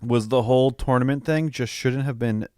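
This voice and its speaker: A man co-hosting a podcast, chatting casually and thinking aloud in loose, halting sentences. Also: medium pitch, dark tone, clean tone, dry recording, studio quality. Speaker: podcast co-host. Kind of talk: casual chat, film review.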